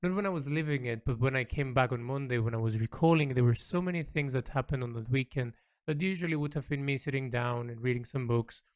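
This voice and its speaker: Man musing haltingly in a personal monologue. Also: medium pitch, darkish tone. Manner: halting, searching for words, often repeating himself. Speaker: illustrator and storyteller. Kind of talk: reflective unscripted audio log monologue.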